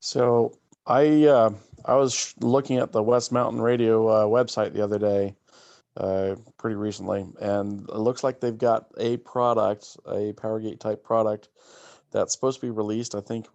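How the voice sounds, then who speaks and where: Guy on his phone, lowish monotone voice, subdued, audio a bit dull. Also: moderate pace, no echo. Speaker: workshop participant. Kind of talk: casual chat.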